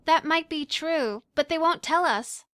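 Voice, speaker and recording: An actress playing a newly awakened young angel, earnest and questioning, in a dramatic visual novel game. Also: female voice, high voice, measured pace. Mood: startled, wary. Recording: loud music behind the voice. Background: music.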